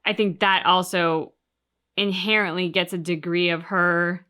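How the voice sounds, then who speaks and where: A woman hosting a reaction show, discussing things casually and analytically in measured, articulate phrases. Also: on the low side, little pitch movement, pushed and bright. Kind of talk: casual analytical discussion of video game story.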